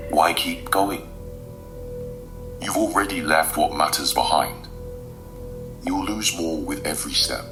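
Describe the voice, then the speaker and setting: Man voicing a very deep, taunting abyss dweller. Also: unhurried, pauses often, loud music behind the voice. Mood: menacing. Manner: taunting, menacing. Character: dark, taunting male voice of the abyss. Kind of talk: radio drama acting.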